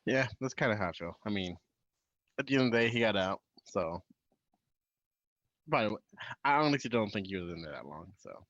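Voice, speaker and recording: A man's voice: on the low side, lively intonation, brisk, pauses often, fairly bright tone, dry recording. Laid-back young man, dull lo-fi sound. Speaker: podcast co-host. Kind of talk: casual chat and reading news stories.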